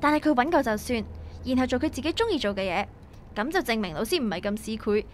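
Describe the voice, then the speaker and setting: A woman's voice: on the high side, lively intonation, quick pace, slight background noise, lightly acted. A woman playing a cheeky young daughter who teases her dad, in Hong Kong Cantonese radio drama style. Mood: playful, insistent.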